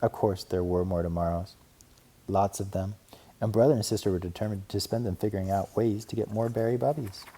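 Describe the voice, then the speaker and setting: A man narrating a children's storybook aloud in an expressive read-aloud style. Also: lowish voice, fairly quick, slightly rough. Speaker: storybook reader.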